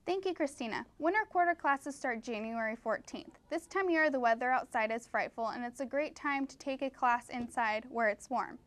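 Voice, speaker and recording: A woman's voice: fairly high pitch, moderate pace, hardly any pauses, a bit bright. A woman anchoring a scripted mock newscast, introducing reports in news-anchor style. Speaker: news anchor in a college's comedic promo newscast.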